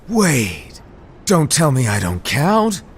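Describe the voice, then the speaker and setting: Playful, theatrical game character: very slow, drawn-out teasing, hugely varied pitch, husky tone. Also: male voice, slight background noise, full character voice. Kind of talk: video game cutscene voice acting.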